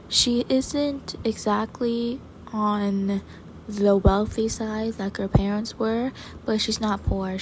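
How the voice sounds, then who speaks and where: A woman giving casual, rambling commentary while she draws, soft and quiet, self-deprecating and prone to hesitant restarts. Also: mid-range voice, slight background noise. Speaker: digital artist and channel creator. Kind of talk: casual commentary over a speedpaint.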